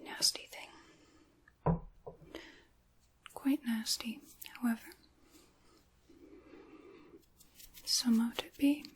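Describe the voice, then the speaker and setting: Woman acting a whispering, sinister dark witch. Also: fairly high pitch, steady tone. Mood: mock-apologetic. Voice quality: breathy whisper. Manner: slow, theatrical, formal old-fashioned wording. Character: sinister dark witch, chaotic, coaxing, mock-courteous. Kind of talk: ASMR roleplay.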